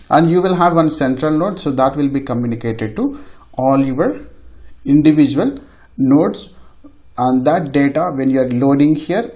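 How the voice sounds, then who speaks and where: A man's voice: mid-range voice, darkish tone, lo-fi sound. A man giving an informal technical lecture as a tutorial instructor, often repeating his phrases for emphasis. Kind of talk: informal technical lecture over a screen demonstration.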